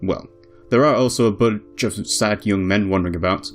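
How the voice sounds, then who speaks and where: Visual novel boatman, male performer: jovial yet weary man of the sea, lowish voice over music. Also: normal speed, close to an everyday voice. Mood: jovial, kindly. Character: jovial, world-weary drinking boatman, devout older man.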